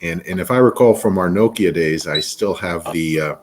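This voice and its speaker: Guy hosting a podcast, lowish voice, kinda rambly, keeps restarting sentences. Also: normal speed, noisy background. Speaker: podcast host, attorney. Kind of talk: interview podcast.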